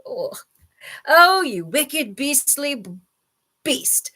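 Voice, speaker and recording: Woman as a blustery big elephant, scolding slowly. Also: fairly low pitch, lively intonation. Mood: outraged. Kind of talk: children's storybook character reading.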